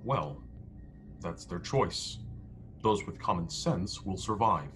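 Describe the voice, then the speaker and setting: A man's voice: sits low, lively intonation, slow, fairly bright tone, full character voice. A man playing a proud, stubborn, defiant starship crewman in a radio play, speaking in a declamatory way. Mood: scornful, stubborn. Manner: declamatory, speaks of himself in third person. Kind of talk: radio play acting over video call. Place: indoor room at home. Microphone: headset on a video call. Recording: background noise on video call.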